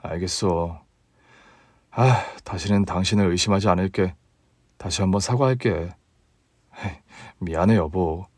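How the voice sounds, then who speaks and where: A man voicing a meek young husband who speaks softly and apologetically in a lowered, quiet voice. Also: measured pace, pauses often, no room sound, studio quality. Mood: subdued. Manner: soft, subdued, apologetic.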